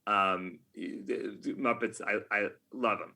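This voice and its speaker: A man's voice: fairly low pitch, takes it slowly, gravelly, pushed and bright. A middle-aged man talking casually as a podcast guest, with a wry, self-mocking, nerdy enthusiasm. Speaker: podcast guest, game writer.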